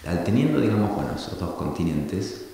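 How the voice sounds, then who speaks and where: A middle-aged man from Argentina giving a reflective public talk, thoughtful and halting, often restarting his sentences. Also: sits low, very animated, gravelly, dark tone. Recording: echoey hall recording from a distance with faint hiss.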